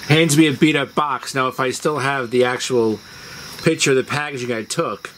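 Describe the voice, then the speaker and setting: A man's voice: medium pitch, normal speed, fairly bright tone, poor audio. A man hosting a video, telling personal stories in a casual way. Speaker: video host. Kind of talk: casual storytelling. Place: outdoors on a house porch at dusk. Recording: outdoor noise, chirping insects. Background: crickets and insects.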